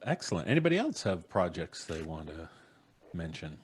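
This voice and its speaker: A man's voice: deep voice, big pitch swings, normal speed, breathy edge. A middle-aged man casually hosting a group chat on a video call from home. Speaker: podcast host. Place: home room.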